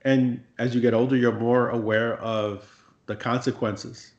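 A man interviewing a guest in a casual conversation, reflective and relaxed, with frequent fillers. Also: mid-range voice, a little slow, echoey room, highs cut off.

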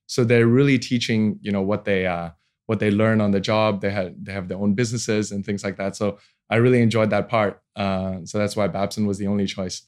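A man in his forties from Hong Kong speaks as a guest in a casual interview. His voice sits fairly low, and he feels his way through sentences, often dropping in "you know" as he goes. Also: fairly even intonation, fairly quick, darkish tone. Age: 40s. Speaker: podcast guest, venture capital founder. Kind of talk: casual interview talk about own career.

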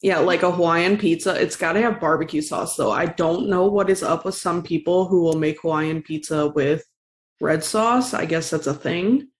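A woman's voice: lowish voice, normal speed. A woman chatting casually as a podcast co-host. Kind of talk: casual chat.

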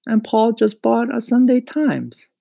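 Man putting on an eager, high-pitched little boy. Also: big pitch swings, unhurried, creaky, warm tone, dry recording, clean studio sound, heavily acted. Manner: pleading, earnest. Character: eager earnest young boy who loves his pet. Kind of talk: acted storybook reading.

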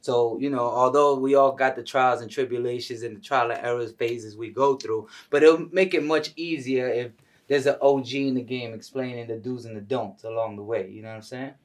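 A man's voice: mid-range voice, steady tone, brisk, far from the mic. An American man with a mid-range voice hosts a casual interview podcast. He talks in a familiar, slangy style and brings a showman's hype to his delivery. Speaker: podcast host.

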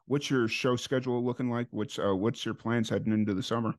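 A man's voice: mid-range voice, a little fast. Laid-back male podcast host. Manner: relaxed, rambling questions, frequent uhs and restarts. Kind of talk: casual interview chat.

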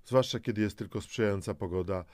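A man's voice: on the low side, fast, a little mellow, no echo, clean studio sound. A man giving semi-scripted motivational narration, coaxing and instructing the listener with rhetorical questions. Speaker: host of a health and lifestyle audio programme.

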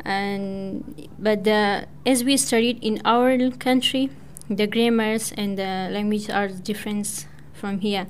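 A young woman from Pakistan whose first language is Persian, speaking halting, hesitant English with many fillers as a student talking on community radio. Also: medium pitch, steady tone, slight background noise. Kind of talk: prepared personal talk.

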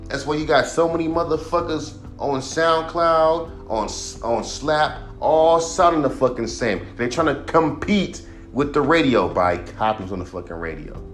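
A man in his thirties hosting his own podcast, a rapper ranting bluntly about music with heavy swearing and a voice he calls monotone. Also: on the high side, normal speed, hardly any pauses. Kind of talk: profane casual rant.

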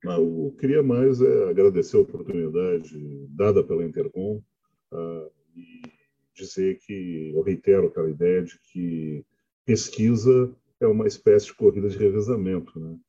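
A middle-aged or older man, a professor, making halting, reflective remarks in an online panel with frequent tag questions, over a muffled connection. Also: sits low, warm tone, slightly rough. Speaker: professor and research supervisor.

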